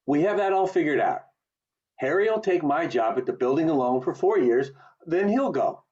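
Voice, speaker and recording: Guy acting earnest restless young dreamer in a radio play, really swoopy pitch, muffled video call sound. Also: lively intonation, moderate pace, a little mellow, natural voice. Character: restless, eager young small-town man with big dreams. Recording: dull lo-fi video call audio.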